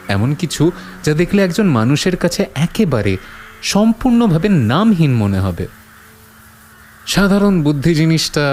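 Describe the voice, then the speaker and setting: A man playing a stubborn, imaginative horror writer in an audio story, deliberate and argumentative, with dramatic pauses. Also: fairly high pitch, darkish tone. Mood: insistent. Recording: clear background ambience noise.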